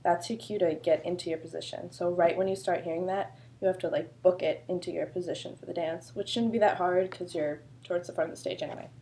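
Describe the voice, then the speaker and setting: A woman's voice: on the low side, fairly even intonation, fast, hardly any pauses, mellow. A woman choreographer with a sore throat, explaining a dance routine in a chatty, loose way full of fillers. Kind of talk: casual dance instruction video.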